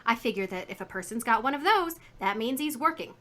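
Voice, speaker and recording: A woman's voice: on the high side, lively intonation, brisk, bright, forceful tone. An actress playing a chatty, indignant, folksy woman shopkeeper in a 1950s radio drama. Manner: folksy, garrulous, emphatic.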